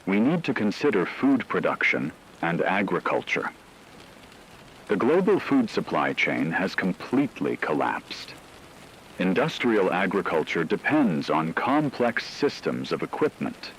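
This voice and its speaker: A man playing a grave radio anchor, delivering a measured, analytical scripted emergency broadcast. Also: deep voice, fairly bright tone, slight background noise, exaggerated voice, low-quality recording. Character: grave male radio anchor reporting an apocalypse.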